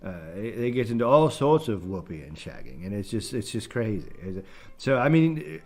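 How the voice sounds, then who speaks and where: A man voicing a pompous, easily distracted British film critic in a halting, rambling comic monologue. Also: lowish voice. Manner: halting, rambling, self-important. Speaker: podcast film reviewer.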